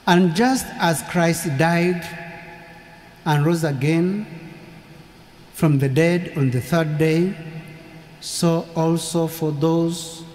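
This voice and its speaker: A middle-aged priest delivers a funeral homily at a very unhurried, deliberate pace, his tone mellow and soft-edged. His voice rings out through a large cathedral with a long, lingering reverb. He speaks into a lectern microphone, leaving long pauses between phrases. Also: a little high. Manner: slow, measured preaching with long pauses. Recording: echoey cathedral from far off.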